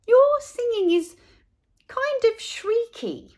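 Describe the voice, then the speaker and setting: A woman's voice: very high voice, big pitch swings, slow pace, darkish tone, pitched above the performer's own voice, exaggerated voice. A woman voicing an earnest, blunt and innocent little schoolboy in a storybook, speaking in a matter-of-fact way. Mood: blunt, cheerful.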